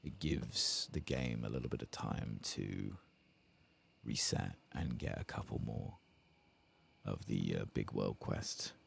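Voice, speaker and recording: A soft-spoken streamer giving murmured, half-whispered ASMR commentary on a game, close to the microphone. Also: extremely low pitch, expressive, slow pace, creaky, husky. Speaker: gameplay streamer.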